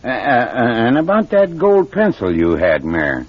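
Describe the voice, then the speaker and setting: A man's voice: medium pitch, huge pitch swings, a little slow. An actor playing a folksy, skeptical man who probes for answers in an old-time radio mystery. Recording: dull vintage radio recording.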